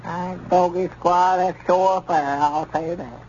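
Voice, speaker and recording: High-voiced, eager rural Arkansas storekeeper; muffled old radio. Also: male voice, steady tone, unhurried, darkish tone. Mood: pleased. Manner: eager, chuckling, folksy exclamations. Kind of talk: 1930s radio comedy acting. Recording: muffled 1930s radio transcription with hiss.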